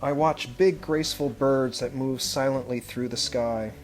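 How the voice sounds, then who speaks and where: A man reads a picture book aloud as a school head would to children, with measured, expressive phrasing and pauses. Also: mid-range voice, faint music behind. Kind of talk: storybook read-aloud with brief asides.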